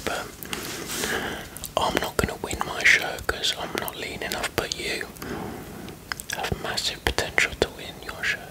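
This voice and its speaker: A man in his thirties whispering softly in an encouraging, matey way in an ASMR roleplay, close to the mic. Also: poor audio. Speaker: ASMR creator playing a bodybuilding prep helper. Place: block-walled garage gym with metal roof.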